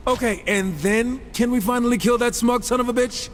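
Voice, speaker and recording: A man's voice: very high-pitched, steady tone, a little fast, slight background noise. An actor playing a cocky, irreverent horseman in a video game, talking in sarcastic, breezy quips. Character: cocky, wisecracking male horseman, irreverent, impatient gunslinger type. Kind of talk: video game cutscene acting.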